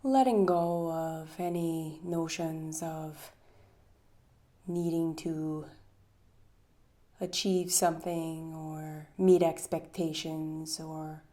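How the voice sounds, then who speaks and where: A woman leading a guided meditation with poetry readings, speaking soothingly with long pauses and a breathy trail-off at the ends of phrases. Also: low voice, steady tone, mellow.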